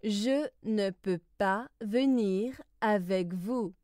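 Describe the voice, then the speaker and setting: Woman reading lesson phrases, super slow n deliberate, mid pitch. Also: darkish tone, dry recording, studio quality. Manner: deliberate, carefully enunciated. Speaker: language lesson reader.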